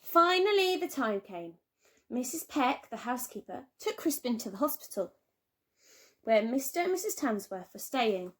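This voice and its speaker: A woman teacher reading a children's storybook aloud with expressive, dramatic emphasis and drawn-out words. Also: fairly high pitch. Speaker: primary school teacher.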